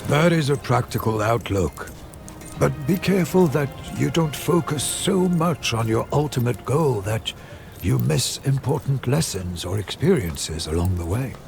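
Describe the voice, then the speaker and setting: An actor playing a wise, wry and devoted servant of a Roman noble house, measured and courteous, in a game. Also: male voice, medium pitch, expressive, gravelly, music in the background. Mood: advising. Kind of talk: scripted game dialogue.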